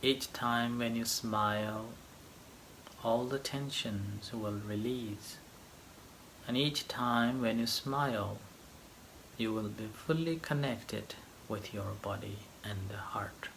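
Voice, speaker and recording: Male meditation teacher, slow delivery, short phrases, long pauses, light background noise. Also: fairly low pitch, vocal fry, fairly bright tone, rough recording. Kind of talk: guided meditation, slow gentle instruction.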